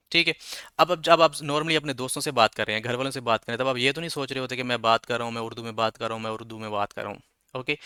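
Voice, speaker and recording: Man, casual English lesson, clear smooth voice, dry close sound. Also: medium pitch, pushed and bright, no room sound. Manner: conversational, repeats filler checks. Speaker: English teacher. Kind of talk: explaining lesson, mixing Urdu and English.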